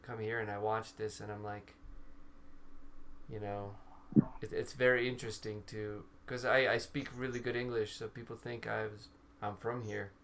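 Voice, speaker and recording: A man's voice: lowish voice, little pitch movement, moderate pace. Guy, 30s, rambly casual chat, headset on a video call, noisy line. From Serbia. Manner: talkative, stops and restarts often. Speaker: co-host. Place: small room indoors. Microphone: over-ear headset on a video call.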